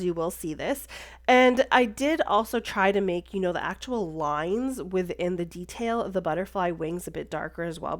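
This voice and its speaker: A woman narrates a crafting tutorial, her voice stuffy and congested. She talks in a relaxed, chatty way, pausing on fillers and stopping to correct herself as she explains each step. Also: mid-range voice. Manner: chatty, with frequent "um" and self-corrections. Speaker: card-making craft tutorial host. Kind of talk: casual voice-over tutorial narration.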